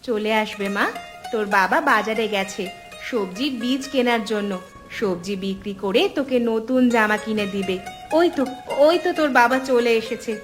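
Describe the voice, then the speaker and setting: A woman's voice: fairly high pitch, moderate pace, faint music behind, close to an everyday voice. A woman voicing a poor, caring village wife and mother in a cartoon folk tale, with plaintive dramatic delivery. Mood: soothing.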